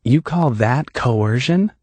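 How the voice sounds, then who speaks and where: A man's voice: medium pitch, lively intonation, moderate pace, darkish tone, no room sound, pitched above the performer's own voice, lightly acted. A man voicing a wry, businesslike female agent.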